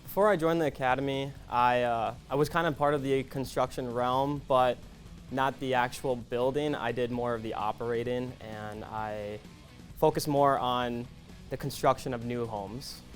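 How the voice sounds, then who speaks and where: Recruit from Minnesota, ordinary mid pitch, a bit halting. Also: male voice, steady tone, soft background music. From Dilworth, Minnesota. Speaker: police academy recruit. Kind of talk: interview answers.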